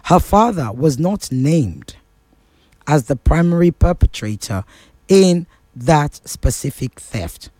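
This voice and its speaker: A male talk radio host goes through reports and cuts in with pointed questions for his caller. His voice sits a little high for a man. The sound is close and dry. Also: sing-song, takes it slowly, dark tone, dry recording.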